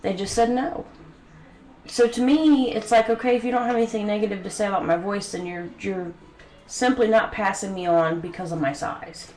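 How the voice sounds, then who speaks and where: A woman's voice: medium pitch, normal speed, a little mellow. A young woman with a head cold, sniffling, talking earnestly to camera in a home video with many hesitant ums. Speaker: vlogger. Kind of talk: personal talk to camera. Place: bedroom home studio. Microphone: studio mic. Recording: echoey room, faint background noise.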